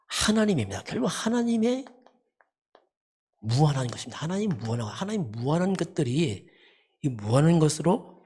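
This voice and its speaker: Husky pastor doing a sermon, mid pitch, hammers points over and over like a teacher. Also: a little mellow. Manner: emphatic, repetitive teaching with rhetorical questions. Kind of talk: dawn service sermon.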